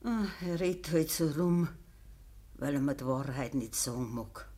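An actress playing a gentle, ailing old farmer's wife in a radio play, speaking Bavarian dialect with a weak, resigned manner. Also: female voice, extremely low pitch. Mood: weary, resigned. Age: older. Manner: slow, plain rural dialect speech. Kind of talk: naturalistic radio drama acting.

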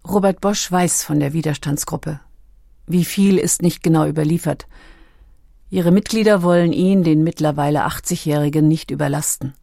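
Female documentary narrator, deep and warm in tone, sober scripted read. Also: creaky, dry recording, clean studio sound. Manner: sober, measured.

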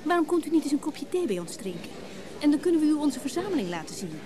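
Gushy flirty rich wife, radio play, lightish high voice, busy background noise. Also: female voice, big pitch swings, fast, a crowd in the background. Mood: gushing, flirtatious. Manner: forward, gushing, teasing. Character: flirtatious, spontaneous, self-assured younger wife of a rich collector.

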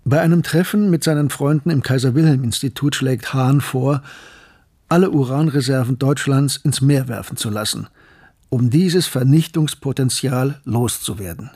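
Man performing documentary narrator, rough-edged husky voice, medium pitch, sober, measured scripted history telling, radio play. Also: a little mellow, lightly acted. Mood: sober, factual. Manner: measured, factual.

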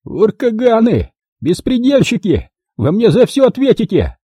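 A man acting a cowardly, indignant villain in an audiobook, yelling and whining hysterically. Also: high-pitched, huge pitch swings, unhurried, husky, dry recording, studio quality, pitched above the performer's own voice, full character voice. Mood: impotent rage.

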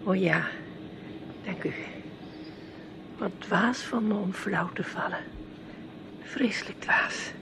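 Creaky, fluttery older lady. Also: medium pitch, takes it slowly, long pauses. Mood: weak, fluttery. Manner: fluttery, polite. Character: frail, polite older lady traveller. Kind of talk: radio drama acting. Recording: noisy old radio play recording.

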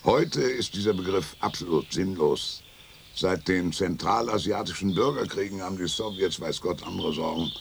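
An actor playing a grumpy, sardonic retired Finnish statesman of sixty-five in a radio play, gravelly and gruff. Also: low voice, normal speed. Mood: irritated, gruff. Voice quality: creaky, gravelly. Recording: faint outdoor background noise.

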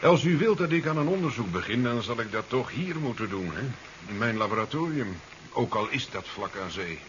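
An actor playing a brisk, sardonic professor with a wry manner in a 1970s science fiction radio play. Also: male voice, medium pitch, sing-song, a bit bright. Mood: brisk, reassuring. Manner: wry, chuckling, ends remarks with questioning tags. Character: brisk, sardonic male professor in his sea-side laboratory. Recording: dull, noisy 1970s radio recording.

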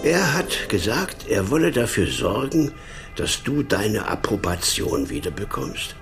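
An actor playing a concerned, moralising police boss in a radio crime drama, admonishing and insistent. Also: male voice, lowish voice, big pitch swings, measured pace, fairly bright tone, faint music behind.

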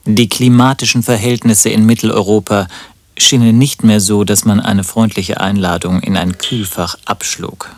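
A man playing a lovesick, wry radio-play protagonist who speaks in a deadpan, literary way. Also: fairly low pitch, moderate pace, a little hiss or hum, natural voice. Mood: dry narrating. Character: lovesick, melancholy, wry man narrating his own absurd story. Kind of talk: German radio play acting and narration.

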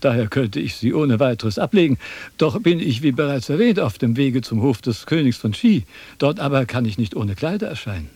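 An actor playing a self-important philosopher in a radio drama, pompous, formal and easily indignant. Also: male voice, medium pitch, very animated, fairly quick, darkish tone, slightly rough, dry recording. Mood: haughty, pompous. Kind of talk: German radio drama acting.

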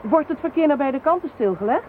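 A woman's voice: high-pitched, lively intonation. Commanding, sardonic female inspector; tinny lo-fi recording, light hiss. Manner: brisk, commanding, dry sarcasm. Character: brisk sharp-tongued female police inspector. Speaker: police inspector. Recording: tinny lo-fi old radio recording.

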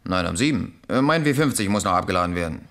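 An actor playing a touchy, blunt truck driver of about thirty, answering defensively in a German radio crime drama. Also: male voice, deep voice, expressive, fast, fairly bright tone. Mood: wary. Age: 30s.

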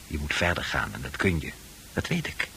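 A man playing a calm, persuasive psychiatrist with reassuring authority in a radio drama. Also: a bass voice, brisk, full character voice, low-quality recording. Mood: reassuring, firm. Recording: hissy old radio drama recording.

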